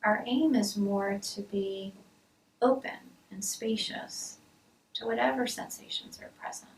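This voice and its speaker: A woman leading a guided body scan meditation as a mindfulness teacher, speaking softly and soothingly with pauses, often trailing into a breathy near-whisper. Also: medium pitch. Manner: soft, soothing, measured with pauses. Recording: roomy, distant mic, hissy background noise.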